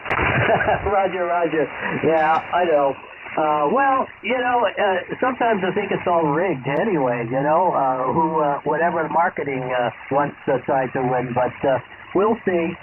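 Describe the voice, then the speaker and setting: A man's voice: a little high, animated, a little slow, phone-quality sound. A middle-aged or older man calling in casually over amateur radio, heard through a radio transmission. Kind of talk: casual ham radio exchange. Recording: thin, noisy shortwave radio signal.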